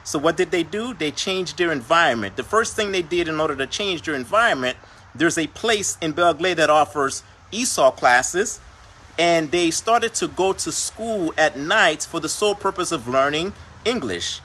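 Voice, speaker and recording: A man speaks earnestly in a motivational livestream talk, often circling back to repeat his points. His voice is slightly high and bright with a forward edge. A faint hiss sits under the recording. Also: animated, moderate pace. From Haiti. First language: Haitian Creole. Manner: earnest, repeats phrases, says "right?" and "per se" often. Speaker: host.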